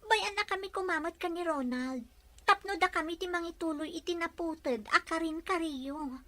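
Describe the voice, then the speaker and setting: A woman's voice: extremely high pitch, moderate pace, sharp tone. A woman playing a young adult daughter in an Ilocano audio drama, earnest, pleading yet firm. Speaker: voice actor in a radio-style drama. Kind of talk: Ilocano radio drama acting.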